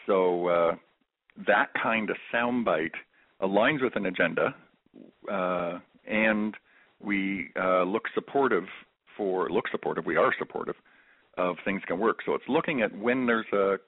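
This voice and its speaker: A man's voice: low-pitched, a little slow, no room sound. A man hosting a call-in discussion, chatty, with lots of fillers and restarts. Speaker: host of a call-in webinar. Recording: phone-line audio.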